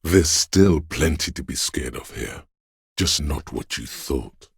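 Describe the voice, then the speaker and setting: Very deep, gravelly voice of primal dread. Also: male voice, lively intonation, dry recording, clean studio sound, exaggerated voice. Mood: ominous dread. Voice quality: deep, gravelly, husky rasp. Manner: slow, ominous, goading, profane. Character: menacing, paranoid primal fight-or-flight instinct speaking inside a detective's head. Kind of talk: dramatic video game voice acting, second-person inner voice.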